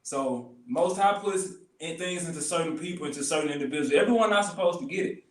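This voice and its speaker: A man's voice: on the high side, fairly quick, recorded from a distance. Guest, man from Memphis. Earnest and faith-driven, recorded in a roomy space away from the mic. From Memphis, Tennessee. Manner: earnest, faith-filled talk. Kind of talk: casual conversation.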